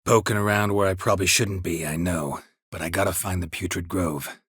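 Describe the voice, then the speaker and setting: A man voicing a gruff, stoic, world-weary monster hunter in a video game, speaking tersely in a husky rasp with dry, deadpan understatement. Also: low-pitched, a little fast, dry recording, heavily acted. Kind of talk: video game character dialogue.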